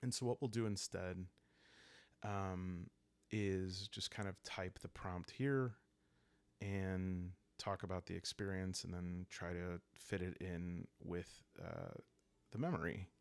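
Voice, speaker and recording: A man with a low voice full of creaky fry talks softly and calmly, as if helping listeners drift off. He hosts a solo game walkthrough, pausing often as he thinks aloud. The sound is close and dry. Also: no room sound. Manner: calm, soft-spoken, hesitant pauses while thinking aloud. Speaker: host of a solo roleplaying game series. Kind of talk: calm, rambling sleep-aid game walkthrough.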